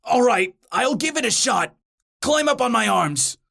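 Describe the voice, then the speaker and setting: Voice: mid-range voice, very animated, a little slow, gravelly, pushed and bright, exaggerated voice. A young male demon in a comic storybook, acted out by a narrator, cowardly but kind. He talks in a hesitant, slangy ramble, full of self-doubt. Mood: resigned.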